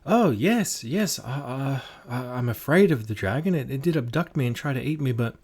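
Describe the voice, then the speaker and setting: A man's voice: medium pitch, animated, normal speed, darkish tone, slightly rough, pitched above the performer's own voice. A man voicing a timid, frightened male villager who stammers and hurries his words. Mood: fearful.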